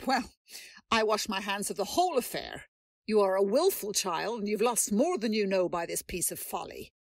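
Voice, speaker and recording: A haughty old aunt. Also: female voice, mid-range voice, expressive, normal speed, dry recording, studio quality. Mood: indignant. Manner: scolding, haughty. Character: stern rich elderly aunt, scornful. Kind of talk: audio drama acting.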